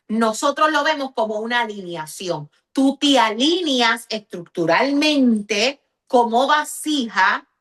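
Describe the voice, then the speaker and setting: Female pastor, Puerto Rican accent, slightly high pitch. Interview-style religious teaching, emphatic and explanatory, often checking understanding. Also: a bit bright. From Puerto Rico.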